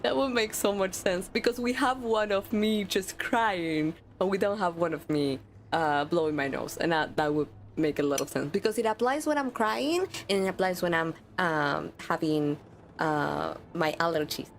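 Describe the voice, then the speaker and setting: A woman's voice: lowish voice, normal speed, a little hiss or hum. A woman streamer with a stuffy nose, chatting casually with viewers while playing a game. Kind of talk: live gaming stream chat.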